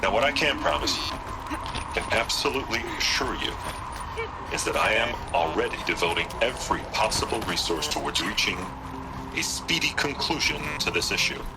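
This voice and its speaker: A man playing a slick, self-important chief executive in a video game, speaking in a polished, measured, placating way. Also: on the low side, very animated, heavy background noise. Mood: grave, placating.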